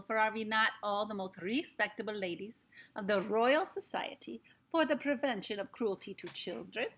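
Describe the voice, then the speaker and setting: A woman's voice: medium pitch, a bit bright, close to an everyday voice. A woman reading aloud, voicing a cruel, commanding female witch leader who barks haughty orders.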